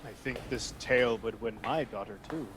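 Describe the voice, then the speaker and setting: A man playing a brisk, authoritative duke in a Shakespearean radio play, formal and commanding. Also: mid-range voice, noisy background, lightly acted. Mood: courteous.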